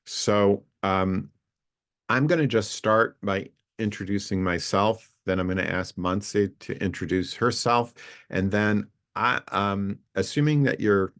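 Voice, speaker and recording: A man in his late sixties with a very low, gravelly voice, speaking over a video call. He rambles in a friendly, unhurried way, stopping to restart his sentences and filling gaps with "um" and "uh". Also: a bit bright. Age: 60s. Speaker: co-founder introducing an online community session. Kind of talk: casual spoken introduction on a video call.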